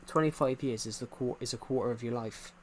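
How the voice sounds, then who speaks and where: A man's voice: medium pitch, brisk, slight background noise. A man in his twenties chatting casually on a game stream, rambling and repeating himself while answering chat from a desk mic. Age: 20s. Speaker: gaming streamer.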